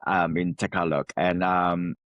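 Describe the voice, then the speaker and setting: Man, lowish voice, animated storyteller, english, dry close mic. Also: a little slow, no room sound. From Laos. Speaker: podcast co-host. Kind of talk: casual storytelling chat.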